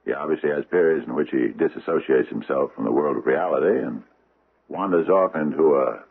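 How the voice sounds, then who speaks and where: An actor playing a courteous, learned judge in a radio drama, formal and genial with hesitant pauses. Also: male voice, rumbling low, creaky, husky, narrow, tinny audio, heavily acted. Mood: grave, authoritative. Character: courteous, learned judge giving his opinion. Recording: thin band-limited old radio recording.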